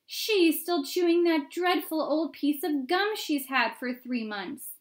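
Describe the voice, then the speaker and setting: Woman acting out loud, gossipy crowd onlooker. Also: sits high, slow, pitched above the performer's own voice. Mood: excited gossip. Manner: loud, exclaiming, emphatic. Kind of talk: storybook character voices.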